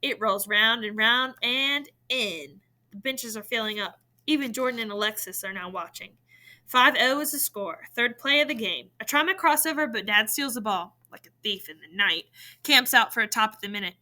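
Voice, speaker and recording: A woman reading a novel in verse aloud, in an expressive storytelling style. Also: fairly high pitch, normal speed.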